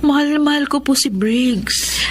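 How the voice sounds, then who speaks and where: A woman's voice: high-pitched, unhurried, slight background noise. A young woman playing a devoted, lovestruck nurse and respectful daughter in a Filipino radio drama, with an earnest manner. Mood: pleading, sincere. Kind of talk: Filipino radio drama acting and first-person narration.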